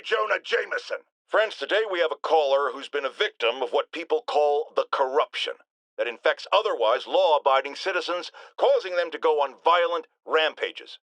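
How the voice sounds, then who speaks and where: A blustering game-character radio host with a high, forceful voice. He is hot-tempered and self-righteous, swooping dramatically through every indignant, punchy pronouncement. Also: dry recording, full character voice, low-quality recording. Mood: defiant, then dramatic, announcing. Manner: emphatic, indignant, theatrical, punchy delivery. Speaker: radio talk show host. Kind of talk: bombastic radio call-in rant.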